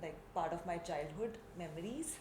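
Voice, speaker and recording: A woman from Delhi with an Indian English accent talks in a casual podcast chat, slowly and thoughtfully, circling back on her words. Her voice sits fairly low. The room is echoey and there is a clear noise floor behind her. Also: animated, a little mellow. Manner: slow, thoughtful, with many restarts. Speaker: podcast co-host.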